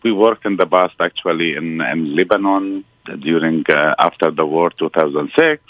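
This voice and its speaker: Deep-voiced man on a phone line. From Palestine. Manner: halting, with many pauses and fillers. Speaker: phone-in guest. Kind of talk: radio interview on the phone.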